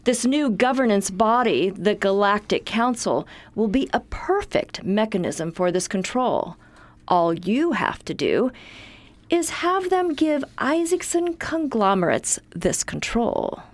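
Scheming, lively-toned corporate heiress played by a woman. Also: mid-range voice, slow pace. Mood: coolly persuasive. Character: smooth, scheming corporate heiress offering bribes. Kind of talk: science fiction radio drama acting.

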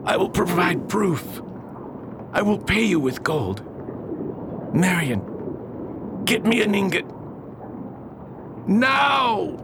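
A man's voice: high voice, animated, extremely slow, long pauses, background noise, heavily acted. An actor playing an obsessed, proud older Victorian alchemist and embittered father in a declamatory radio drama style. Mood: furious. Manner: grandiose, declamatory old-fashioned speech. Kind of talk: theatrical radio drama acting.

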